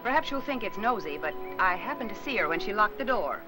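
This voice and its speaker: An actress playing a helpful, observant neighbor with a polite, tactful manner in a 1950s Hollywood film. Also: female voice, medium pitch, sing-song, fast talker, music in the background, close to an everyday voice. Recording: old film soundtrack.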